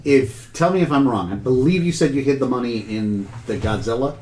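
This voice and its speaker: A man narrating a tabletop game as game master, improvising as he goes in halting phrases. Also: mid-range voice, mellow. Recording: roomy echo with background noise.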